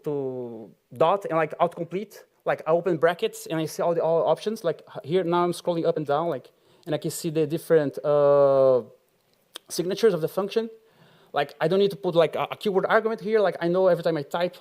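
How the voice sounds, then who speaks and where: A man with a Brazilian Portuguese accent, his voice a touch high, talks to an auditorium crowd. He rambles in a loose, chatty way, full of fillers and little laughs. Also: a little mellow. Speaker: conference speaker. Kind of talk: tech conference talk.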